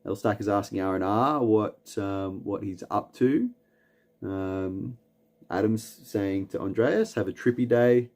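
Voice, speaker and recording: A man with an Australian accent, hosting a late-night livestream and reading chat comments aloud. He rambles in a relaxed way, with lots of "um"s and repeated words, and chuckles often. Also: fairly low pitch, unhurried. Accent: Australian, from Newcastle. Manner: chuckles often between lines. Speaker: livestream host.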